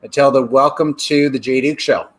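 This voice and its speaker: A man's voice: medium pitch, steady tone, moderate pace. A man hosting an interview show, talking effusively and piling on superlatives. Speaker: show host.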